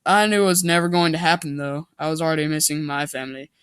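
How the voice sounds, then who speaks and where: A man's voice: fairly high pitch, fairly even intonation, fast, fairly bright tone, clean tone, no room sound, studio quality. A man giving a mock dramatic reading of amateur fiction, goofy and theatrical, often breaking off to comment. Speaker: reader and commentator.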